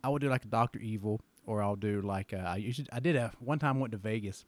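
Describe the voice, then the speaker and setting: A man's voice: fairly low pitch, quick pace, no room sound. A man in his forties hosting a casual interview, telling jokey stories in a chatty way. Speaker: podcast host.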